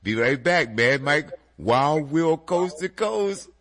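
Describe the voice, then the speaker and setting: A man's voice: fairly high pitch, expressive, slow pace, fairly bright tone. A man hosting a casual music radio show, a bit congested and nasal, talking animatedly to his co-host and to listeners he calls folks.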